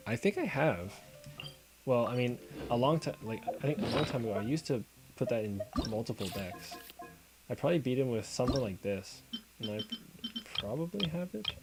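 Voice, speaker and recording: A man streaming a card game talks mostly to himself at a mid-range pitch, pondering his deck aloud. He breaks off now and then to greet and answer viewers in chat. Music plays clearly behind his voice. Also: expressive, normal speed, long pauses, rough recording. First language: not Korean. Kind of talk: casual live-stream commentary while gaming. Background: background music.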